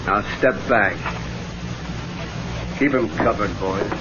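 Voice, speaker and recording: An actor playing a hard, self-assured, scheming outlaw boss in a radio Western, talking curt and tough. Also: male voice, on the low side, slow pace, noisy background. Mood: threatening, curt. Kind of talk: old-time radio Western acting. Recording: muffled band-limited old radio recording.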